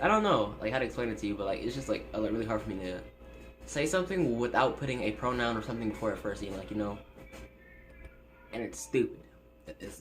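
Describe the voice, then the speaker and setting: A man's voice: mid-range voice, fairly bright tone. A seventeen-year-old guy who stutters, chatting casually on his vlog from his bedroom, rambling with lots of fillers and restarts, recorded on a phone. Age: teens. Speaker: YouTuber. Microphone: phone set up in room.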